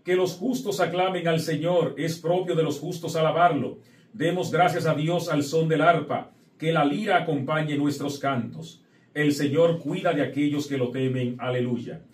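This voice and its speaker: Priest guy doing mass, voice a bit high, lots of room echo. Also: a little mellow. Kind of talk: liturgical prayer, scripture reading and address to the faithful. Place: indoor chapel set at an altar. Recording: echoey room, distant microphone.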